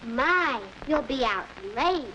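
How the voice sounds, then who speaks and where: A young actress playing a curious, inquisitive little sister in a 1940s educational film. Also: female voice, high-pitched, animated, slow, sharp tone, full character voice. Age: child or young teen. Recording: muffled, noisy 1940s film soundtrack.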